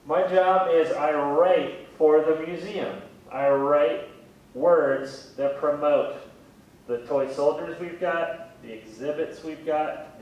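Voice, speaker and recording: A man in his thirties chatting casually in an interview, with a wry, gently self-deprecating way of talking. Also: dull audio. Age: 30s. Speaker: museum communications specialist, interviewee. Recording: very echoey room, distant mic, faint rumbling noise.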